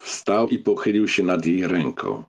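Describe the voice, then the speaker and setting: A man's voice: low-pitched, big pitch swings, measured pace, vocal fry, a little mellow. A man reading scripted narration of a novel as an audiobook narrator.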